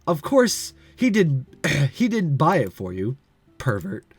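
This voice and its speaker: A man voicing a calm, cool-headed young woman who works in a café, speaking softly and evenly. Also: fairly high pitch, slow pace, husky, no echo, full character voice. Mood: teasing.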